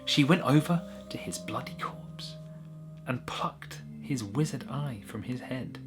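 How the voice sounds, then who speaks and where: A man's voice: mid-range voice, animated, measured pace, over music. A man narrating a whimsical short story in a wry, conversational way with little asides.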